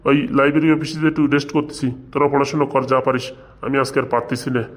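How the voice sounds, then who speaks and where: A man's voice: mid-range voice, steady tone, very fast, close to an everyday voice. A man voicing a crude, easily swayed village schoolteacher in a comic cartoon, blustering and repeating himself. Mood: queasy, weary.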